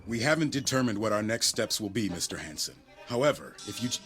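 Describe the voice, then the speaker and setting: An actor playing a cold, controlled agent of a secret network, formal and measured in a drama. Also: male voice, lowish voice, sing-song, over music. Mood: grave.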